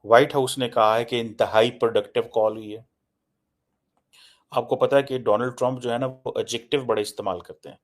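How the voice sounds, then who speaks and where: Man, Pakistani accent, medium pitch, political commentator, emphatic, repetitive, code-switching into English. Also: fairly even intonation, a little fast. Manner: emphatic, repeats phrases for effect. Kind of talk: informal news analysis, mixing English into his speech.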